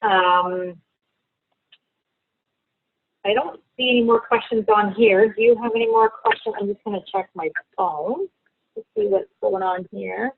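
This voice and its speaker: A woman's voice: mid-range voice, animated, moderate pace, gravelly, breathy edge, dry recording. A woman from Ontario hosting a travel webinar, chatty and reassuring on a video call. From Ontario, Canada. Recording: tinny phone-like call audio.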